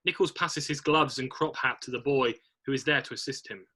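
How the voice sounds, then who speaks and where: A man's voice: medium pitch, a little fast, bright, forceful tone. A man narrating, reading screenplay stage directions aloud in an amateur read-through.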